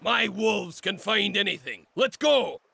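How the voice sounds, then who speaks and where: A man playing an excitable, gruff wolf-tamer scout in a video game, with a blunt, exclamatory delivery. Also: high-pitched, a little slow, exaggerated voice. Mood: eager. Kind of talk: animated video game acting.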